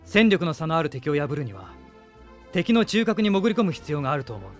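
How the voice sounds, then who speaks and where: Noble young rebel leader, radio drama, sincere and determined, slightly high register. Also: male voice, very animated, a little fast, soft background music. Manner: sincere, resolute, courteous. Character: earnest young noble prince leading a rebel army. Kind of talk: Japanese radio drama acting.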